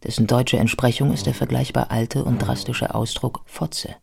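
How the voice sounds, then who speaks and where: A man narrating a scripted biographical radio play in a measured, scholarly manner. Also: mid-range voice, level tone, creaky.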